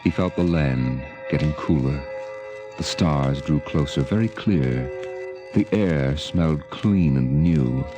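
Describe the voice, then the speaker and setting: A man's voice: rumbling low, takes it slowly. A man narrating a 1950s radio drama in a scripted, atmospheric storytelling style. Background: music.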